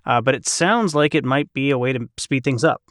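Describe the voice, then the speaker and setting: A man's voice: medium pitch, brisk, a bit bright, no room sound. Man, podcast host. Conversational and keen, wanders through questions, dry studio-tight sound. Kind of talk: casual chat.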